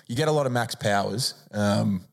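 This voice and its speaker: Joking podcast co-host. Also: male voice, lowish voice, gravelly. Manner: joking, laughs a lot, riffs quickly. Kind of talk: casual banter.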